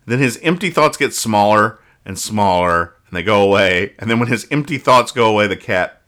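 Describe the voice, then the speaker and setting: A man's voice: on the low side, animated, normal speed, sharp tone. A man hosting a casual comedy podcast, reviewing comic strips with wry mock exasperation.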